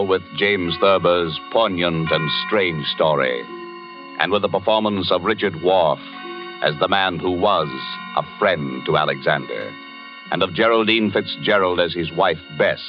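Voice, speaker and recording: A male announcer introducing a radio drama in a measured, stately way, on a muffled old broadcast. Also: deep voice, music in the background.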